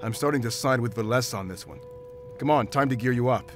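A man's voice: medium pitch, fast talker, soft background music, close to an everyday voice. A man voicing a stern, protective wolf-like warrior in a video game, speaking in a firm, commanding way. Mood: stern, insistent.

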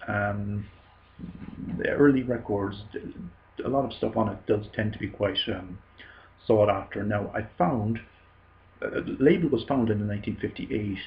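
A man with a Cork Irish accent talks slowly and haltingly, full of ums and restarts, in a fairly low voice. He's recorded on a desk webcam at home, so the sound is dull and lo-fi. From Ireland. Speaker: vinyl collector vlogger. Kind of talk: casual show-and-tell. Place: small indoor room at home.

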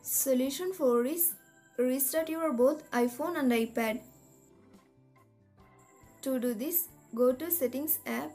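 A woman reading a scripted step-by-step tech tutorial in a measured, instructional manner. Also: sits high, warm tone. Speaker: tutorial narrator. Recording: voice-over with music behind. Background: background music.

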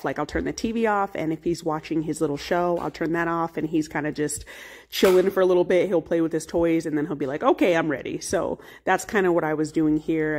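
A young woman narrating her home cleaning vlog in a relaxed, chatty voice-over, full of fillers. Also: sits low. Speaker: cleaning vlogger.

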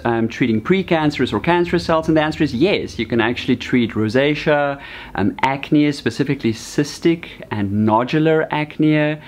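Calm Canadian man explaining treatment. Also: medium pitch. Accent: Canadian English. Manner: calm, explanatory, with some fillers. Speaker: doctor. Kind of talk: patient education explainer. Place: bright clinic room with a window. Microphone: seated, medium shot to camera.

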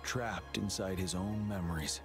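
An actor playing a stern young male commander in a game, speaking in a calm, measured way. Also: low voice. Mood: steady. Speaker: game character. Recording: game audio with loud music.

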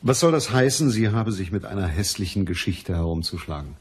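An actor playing a matter-of-fact, weary private detective in a radio crime drama, with a dry, measured manner. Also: male voice, low-pitched, animated, slightly rough. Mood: measured, businesslike.

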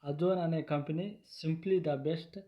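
A man gives an informal product explainer in a deliberate, repetitive way, phrase by phrase. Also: fairly high pitch, fairly even intonation, mellow. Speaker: YouTube product reviewer. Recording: dull lo-fi sound.

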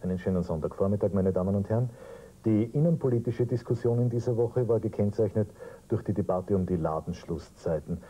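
Male TV host, middle-aged; low, mellow, slightly husky; brisk interviewer. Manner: brisk, conversational questioning. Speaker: news magazine host. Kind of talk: studio interview. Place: TV studio.

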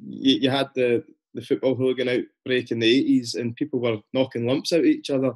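A man in his thirties hosting a podcast, setting up points and prompting his guest over a video call from a bright room at home. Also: mid-range voice, little pitch movement, fairly quick. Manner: leading questions, short backchannels. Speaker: podcast host. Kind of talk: casual interview. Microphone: laptop webcam on a video call.

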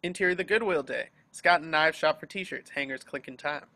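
Bright, forceful male narrator. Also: fairly high pitch, brisk. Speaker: narrator of stage directions. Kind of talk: scripted narration at a table read. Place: remote group video call.

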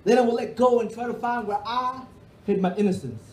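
A man from Peru with a notably high voice delivers spoken-word poetry in rhythmic, emphatic bursts. The sound is roomy, carried from a stage mic through a bar hall. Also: brisk. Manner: rhythmic, emphatic delivery with sweeping hand gestures. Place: dark stage of a bar and music hall, indoors. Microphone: stand microphone on stage.

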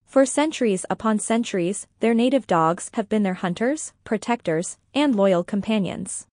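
A woman reading a scripted educational podcast narration in a measured, formal manner. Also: medium pitch, expressive, a little mellow, no echo, clean studio sound.

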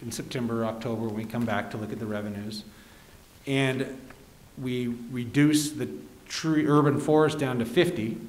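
A man's voice: medium pitch, fairly even intonation, moderate pace. Formal male official in an echoey chamber. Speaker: city staff official presenting the budget. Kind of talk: explanatory budget briefing. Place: indoor council chamber. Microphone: desk microphone, speaking through a face mask. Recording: echoey meeting room.

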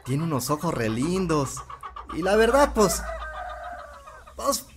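Casting: actor as shy, deferential rural Mexican suitor, slow and halting, very animated pitch, audio fiction with farmyard noise. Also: male voice, exaggerated voice. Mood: nervous, earnest. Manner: hesitant, stammering, deferential. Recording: clear farmyard noise, roosters and hens.

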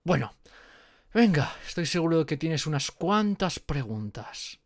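A performer plays a friendly gorgon with snakes for hair, anxious and quick to apologize, in an audio roleplay. The accent is from Spain. The delivery is hesitant and rambling, a bit slow, with very lively pitch, recorded dry and close. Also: no echo. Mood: sheepish, explaining. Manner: hesitant, rambling, muttering to self. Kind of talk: roleplay audio drama, one-sided dialogue.

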